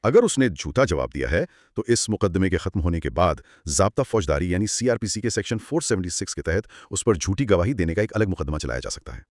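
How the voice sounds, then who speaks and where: A man's voice: low voice, big pitch swings, fast talker, hardly any pauses, a little mellow, no echo, studio quality. A man co-hosting a conversational legal explainer, teaching through examples and rhetorical questions. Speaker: co-host explaining law.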